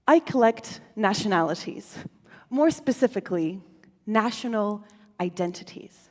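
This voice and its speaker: A woman speaker, a native Russian speaker, giving a prepared stage talk in a playful, expressive manner with rhetorical questions. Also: mid-range voice. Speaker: conference speaker. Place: lecture hall stage. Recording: reverberant hall with faint music.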